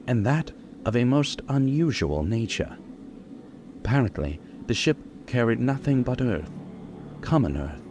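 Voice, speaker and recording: An actor playing a solemn doctor, narrating formally and ominously in old-time radio style. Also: male voice, medium pitch. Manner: formal, dramatic.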